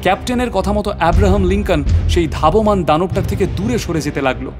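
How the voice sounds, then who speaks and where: An actor playing an adventurer who narrates a suspenseful sea voyage in a dramatic, literary storytelling style. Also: male voice, high-pitched, a little fast, over music, natural voice.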